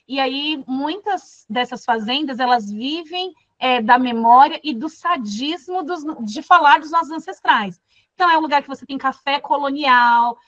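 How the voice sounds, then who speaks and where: Brief: woman from Brazil, course facilitator, slightly high voice, dry and dull lo-fi video-call sound, reflective delivery. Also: fairly bright tone, no room sound. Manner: thoughtful, many fillers and restarts. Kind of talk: casual classroom talk.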